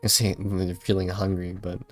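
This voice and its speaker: A woman's voice: extremely low pitch, level tone, fairly quick. A woman giving casual, offhand game livestream commentary with wry, playful reactions. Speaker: streamer. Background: game music.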